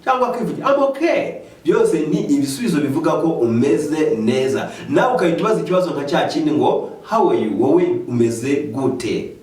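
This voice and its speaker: Lively Rwandan man teaching English. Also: fairly high pitch. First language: Kinyarwanda. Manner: slow, careful explaining, lively rising questions. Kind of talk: bilingual language lesson. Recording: echoey room, mic at a distance.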